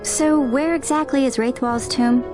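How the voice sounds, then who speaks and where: A woman's voice: sits high, fairly even intonation, moderate pace, lightly acted. A woman voicing a cheerful, plucky teenage girl in a video game, eager and upbeat. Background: game soundtrack music.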